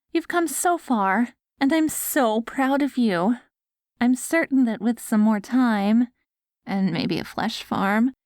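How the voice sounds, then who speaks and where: A woman playing an affectionate post-apocalyptic wife in an ASMR audio roleplay, coaxing and gently praising her ghoul husband. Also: fairly high pitch, no echo, studio quality. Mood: fond, hopeful.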